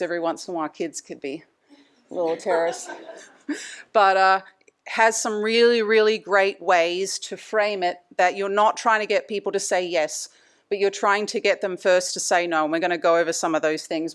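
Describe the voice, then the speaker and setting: A woman's voice: mid-range voice, a bit bright, clean tone. A middle-aged woman from Australia with an Australian accent, giving a chatty presentation lecture and laughing between points. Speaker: anesthesia department leader giving a talk. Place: conference room.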